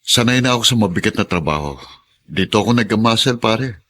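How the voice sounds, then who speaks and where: A middle-aged Filipino working man, with a low voice and some hiss-like noise behind him. He is a steadfast, hardworking family man in a radio drama. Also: lively intonation, brisk, fairly bright tone. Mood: earnest, resolute. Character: hardworking pedicab driver, forgiving husband, steadfast father.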